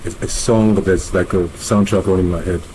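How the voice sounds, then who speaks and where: Nigerian male musician as interview guest, low mellow voice, conversational, some background noise. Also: steady tone, fairly quick, rough recording. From Nigeria, grew up in Lagos. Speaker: musician and bandleader being interviewed.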